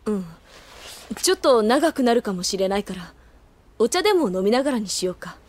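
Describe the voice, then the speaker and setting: A woman's voice: a little high, big pitch swings, brisk, darkish tone. A woman playing an easygoing, considerate older schoolgirl in an anime audio drama. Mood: relaxed, explanatory.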